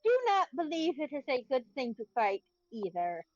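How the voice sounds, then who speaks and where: A woman voicing a polite, formal and halting fantasy adventurer in a tabletop game. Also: high voice, sing-song, a little mellow, dry recording, dull audio. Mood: polite, hesitant.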